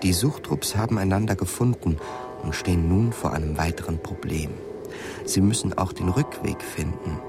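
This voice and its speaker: A man narrating a literary radio drama in a measured, grave, deliberate manner. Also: low voice, loud music behind the voice.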